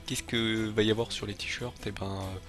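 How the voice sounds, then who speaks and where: A man's voice: on the low side, fairly even intonation, normal speed, a bit bright, faint music behind. Man with a cold, rambling. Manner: rambling, hesitant, many filler sounds and restarts. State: has a head cold. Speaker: channel host. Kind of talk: casual video announcement to viewers.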